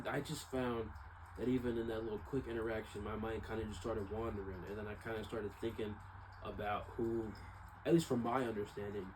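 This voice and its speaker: A man's voice: on the low side, noisy background. A young man with an American accent, talking casually and reflectively in a rambling personal monologue, often repeating himself. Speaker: vlogger.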